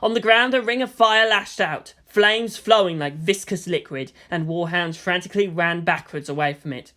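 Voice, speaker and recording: Bright, forceful female story narrator. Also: lowish voice, moderate pace. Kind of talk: dramatic narration of fan fiction.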